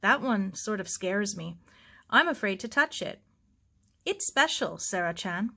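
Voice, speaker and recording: Woman voicing a bright, curious young girl. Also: medium pitch, expressive, unhurried, gravelly, exaggerated voice. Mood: curious, hesitant.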